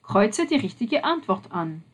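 Instruction reader: woman, slow and expressive. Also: medium pitch, slightly rough. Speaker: exercise narrator. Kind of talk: scripted instructions.

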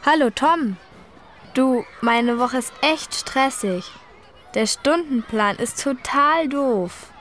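A complaining teen girl, slow, clear, slightly high voice. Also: a bit bright. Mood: grumbling. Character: teen girl fed up with her timetable. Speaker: student in a listening dialogue. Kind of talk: scripted textbook dialogue.

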